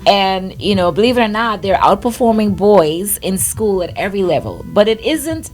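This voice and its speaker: A woman's voice: medium pitch, lively intonation, moderate pace, a little mellow, faint music behind. A woman from Guyana with a Guyanese accent, co-hosting a podcast in casual chat. She laughs often and restarts her phrases. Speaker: podcast co-host.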